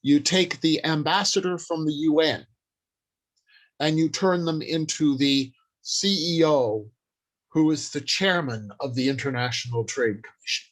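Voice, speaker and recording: An older man presenting an informal instructional talk in a halting, deliberate, explanatory way. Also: on the high side, rough recording. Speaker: workshop presenter.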